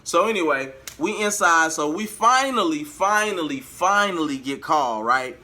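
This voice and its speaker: A man telling a story casually to his viewers, animated and slangy, slipping into the words of people he quotes. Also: fairly high pitch, moderate pace. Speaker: vlogger and amateur singer.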